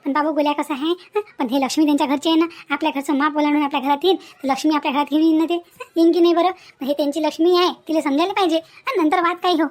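A woman's voice: sits high, fairly even intonation, rapid-fire, lightly acted. A woman voicing an outspoken, protective village mother in a cartoon family story, with a rural Vidarbha Marathi accent and an emphatic, repetitive way of talking. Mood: earnest, exasperated. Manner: chatty, emphatic, repetitive scolding and advising. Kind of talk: animated family story dialogue.

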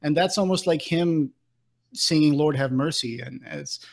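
Higher-pitched man, enthusiastic interviewer. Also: a little slow, vocal fry. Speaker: podcast co-host, interviewer. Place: room at home, indoors. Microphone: desk microphone with pop filter, close.

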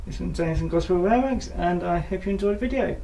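A man with a croaky voice from a sore throat, chatting casually and self-deprecatingly with many ums while he works in a small room. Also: high voice, quick pace, mellow. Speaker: model railway hobbyist vlogger. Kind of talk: casual narration while working. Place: indoors in a small room, bedroom layout. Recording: noisy home camera recording.

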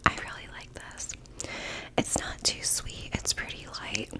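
Whispering woman doing an ASMR food review. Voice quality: breathy unvoiced whisper. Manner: casual, unhurried, gentle commentary while eating.

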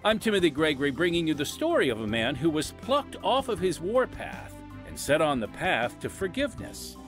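A man's voice: on the high side, very animated, moderate pace. A man hosting and narrating an audio drama podcast in a scripted storytelling style. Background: music under the voice.

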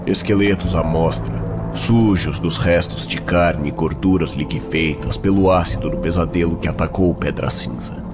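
A man with a Brazilian accent giving grave, deliberate, dramatic narration of a fantasy story. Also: extremely low pitch.

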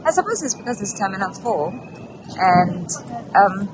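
A woman's voice: mid-range voice, big pitch swings, moderate pace. A woman in her thirties chatting casually to a handheld camera as a travel vlogger. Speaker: vlogger, mother of a toddler. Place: busy airport terminal. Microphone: camera held at arm's length. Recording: clear ambient travel noise behind.

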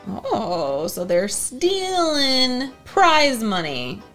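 An American woman in her thirties co-hosting a show, bantering casually in a playful, expressive way. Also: high voice, drawn-out words, faint music behind. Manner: playful, expressive, incredulous asides. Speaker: co-host of a whiskey review show. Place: small indoor home-style bar set.

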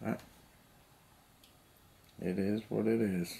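A man's voice: fairly low pitch, flat delivery, slow, creaky, dark tone. A middle-aged man chatting casually and rambling while he paints miniatures in a cluttered basement hobby room, talking to a camera on the table. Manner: rambling, lots of "you know". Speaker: hobby vlogger. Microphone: camera on the table nearby.

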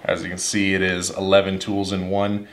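A man's voice: sits low, completely flat delivery, moderate pace. A man in his thirties, an HVAC technician, casually going over his everyday tools in a workshop, rambling and repeating words as he thinks. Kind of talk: casual tool review talk to camera. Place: indoor workshop, at a wooden table.

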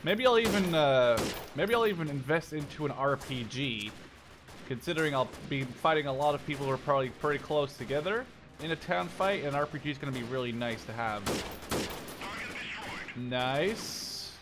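A man in his twenties giving casual let's play commentary, thinking out loud in short phrases as if talking to himself. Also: medium pitch, lively intonation, moderate pace, over music. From Canada or Netherlands. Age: 20s. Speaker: gaming YouTuber playing and commentating.

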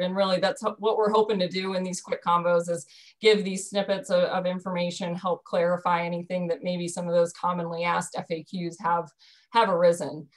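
A woman in her thirties explaining a dairy program on a video call from home, earnest, with frequent ums and restarts. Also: fairly low pitch. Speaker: dairy program staff presenter. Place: bedroom at home. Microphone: video call webcam.

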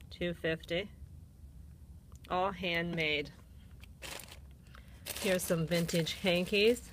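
Low, slow-talking Canadian woman. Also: background noise. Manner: chatty, listing items and prices. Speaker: shopper filming an antique mall booth. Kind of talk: casual walk-through narration. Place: indoor antique mall. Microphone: handheld phone camera.

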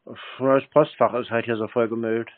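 A man's voice: mid-range voice, steady tone, moderate pace, no room sound. Laconic man on a tinny phone line. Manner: laconic, noncommittal, brief answers with many "mhm"s. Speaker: call recipient, customer. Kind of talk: casual phone conversation. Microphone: landline telephone. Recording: dry but tinny landline phone audio.

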